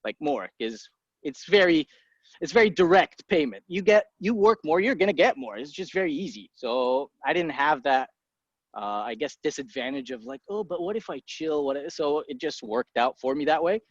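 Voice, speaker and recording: A man's voice: a little high, animated, no echo. Egyptian-raised man, blunt and breezy. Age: 30s. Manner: blunt, swears casually, quick impulsive asides. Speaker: guest, entrepreneur. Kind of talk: casual interview talk. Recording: dry muffled podcast audio.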